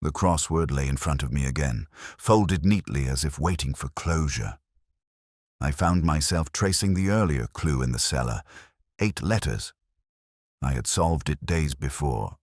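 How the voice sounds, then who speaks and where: Very deep, brooding detective narrator, played by a man. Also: dry recording, studio quality, exaggerated voice. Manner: brooding, literary, measured. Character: weary, melancholy older male police detective narrating his case. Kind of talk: scripted first-person mystery narration.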